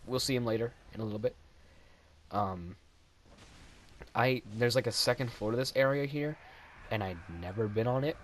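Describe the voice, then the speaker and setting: A man's voice: mid-range voice, moderate pace, long pauses, slight background noise. A young man casually commenting over his own gameplay footage, rambling and self-deprecating, often laughing at his own jokes.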